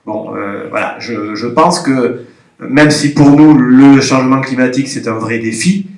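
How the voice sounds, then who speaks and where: A man's voice: medium pitch, measured pace, slight background noise. Brief: man with a head cold, thoughtful and hedging, in a reverberant hall. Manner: reflective, hedging. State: has a cold. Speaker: participant from a regional agriculture office. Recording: roomy conference hall sound.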